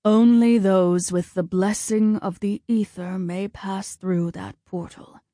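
Woman voicing a slow, solemn god of war. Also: medium pitch, fairly even intonation, mellow, no room sound, studio quality, natural voice. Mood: solemn, commanding. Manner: formal, solemn, measured. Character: commanding, cold, solemn male war god and father.

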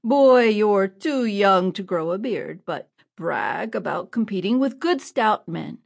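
A woman's voice: mid-range voice, expressive, takes it slowly, creaky, exaggerated voice. A woman voicing a scornful grown man who jeers at a boy. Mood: jeering. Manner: sneering.

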